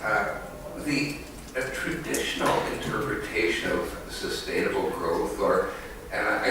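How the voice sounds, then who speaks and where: Deep-voiced man in an echoey hall. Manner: hesitant, many fillers and restarts. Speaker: conference speaker, economist. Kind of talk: academic talk, unscripted. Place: conference hall. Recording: echoey hall, distant mic, noisy.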